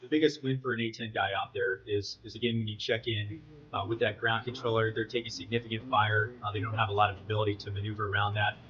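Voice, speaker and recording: A man's voice: fairly low pitch, little pitch movement, fast, sharp tone, dull audio. A man speaking as a military pilot, recounting his work in an interview with plenty of jargon.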